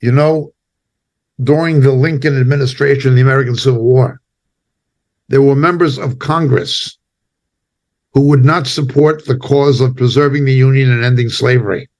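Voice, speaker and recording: A man giving impassioned spoken commentary as a preacher, indignant and emphatic, speaking in short halting phrases. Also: medium pitch, steady tone, darkish tone.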